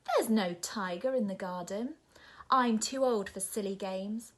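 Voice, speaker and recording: A woman voicing a bored, stubborn young girl who insists loudly, in a breathy voice. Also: mid-range voice, measured pace, close to an everyday voice. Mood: insistent. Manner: insistent, exasperated.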